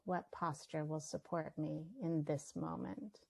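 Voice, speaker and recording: Slow, creaky-voiced woman guiding meditation. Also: low voice, fairly even intonation. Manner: gentle, unhurried, with long pauses between phrases. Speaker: meditation teacher. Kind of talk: guided meditation.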